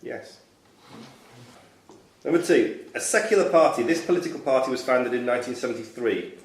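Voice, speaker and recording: A man's voice: mid-range voice, expressive, moderate pace. Echoey male quizmaster reading out questions. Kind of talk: reading quiz questions aloud. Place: indoor club room. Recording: echoey club room with background noise.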